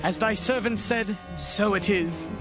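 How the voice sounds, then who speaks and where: An actor playing an earnest, reassuring royal adviser, formal and declamatory, in a dramatized Bible recording. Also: male voice, high voice, a little slow, music in the background. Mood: earnest reassurance. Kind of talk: dramatized Bible audio acting.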